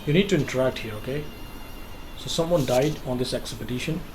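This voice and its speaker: A man's voice: mid-range voice, brisk, noisy background. A man giving casual, explanatory commentary during a game walkthrough, with loose grammar. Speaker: gameplay commentator.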